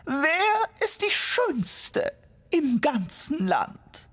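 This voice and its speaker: Shrill, vain wicked queen. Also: wildly swooping pitch, a bit bright, full character voice. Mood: vain, coaxing. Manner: theatrical, drawn-out delivery. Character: vain, wicked, commanding fairy-tale queen. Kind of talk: children's radio fairy-tale play.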